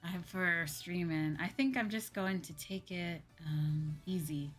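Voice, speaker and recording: A woman's voice: lowish voice, measured pace, a bit bright, husky. A woman hosting a livestream, chatting casually in a hesitant way and often repeating her phrases. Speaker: livestream host. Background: background music.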